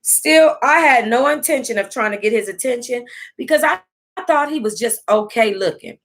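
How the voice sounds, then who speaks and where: An American woman hosting an online book club, reading aloud casually in an animated, salty-tongued way and breaking off to react. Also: fairly high pitch, moderate pace. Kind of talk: casual livestream read-aloud with running reactions.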